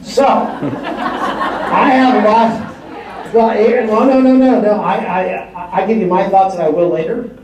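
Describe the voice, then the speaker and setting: Male physician presenter, lively lecture manner, higher pitch, heavy hall echo. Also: takes it slowly, a faint crowd, a little hiss or hum. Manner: emphatic and conversational, repeats words for stress. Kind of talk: conference lecture. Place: large hall before an audience. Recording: echoey hall from far off.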